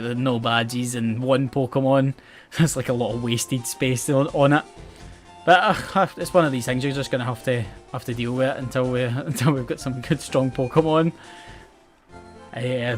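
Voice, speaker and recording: A young man with a west coast Scottish accent, chatting casually about the game he is playing and about his life, rambling and self-mocking. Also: medium pitch. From Scotland. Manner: chatty, rambling, self-mocking, often restarts words and laughs. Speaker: gaming channel host. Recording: game music playing faintly behind.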